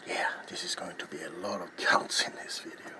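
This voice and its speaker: A middle-aged man narrating a craft in soft ASMR style, hushed and unhurried, often slipping into a whisper. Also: low-pitched, steady tone. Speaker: ASMR crafting video host. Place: small cluttered indoor workshop. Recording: loud background noise, handling clicks.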